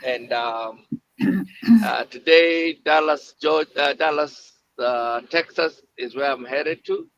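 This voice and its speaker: A man's voice: a little high, takes it slowly, a bit bright. A man with an East African accent, speaking informally as a coach on a live show. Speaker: coach, co-presenter. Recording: dull lo-fi sound, light background noise.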